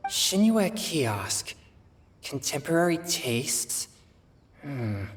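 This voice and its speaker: A man playing an earnest, modest teenage boy in a game, thinking aloud hesitantly in a breathy voice. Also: on the high side, very animated, drawn-out words, soft background music, exaggerated voice. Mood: pondering. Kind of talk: scripted game dialogue.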